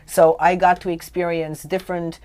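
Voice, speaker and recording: A woman's voice: on the low side, level tone, normal speed, darkish tone, smooth. A middle-aged woman from Romania, raised in Canada, telling her life story as a radio guest in an earnest, emphatic way with a wry edge. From Romania, raised mostly in Canada.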